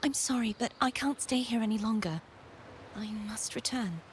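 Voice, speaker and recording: A woman voicing a gracious, wistful young stranger in a video game, speaking in a soft, halting, polite way. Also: on the high side, slight background noise, natural voice. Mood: hesitant, sorrowful.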